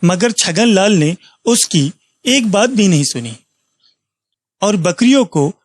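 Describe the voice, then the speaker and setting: A man's voice: high voice, animated, slow pace, no room sound. A man narrating a scripted moral story as a storyteller.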